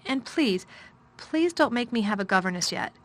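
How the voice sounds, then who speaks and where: A woman's voice: on the high side, animated, normal speed, a bit bright. A woman voicing a timid, stiff little orphan girl in a storybook reading; she speaks hesitantly and stammers.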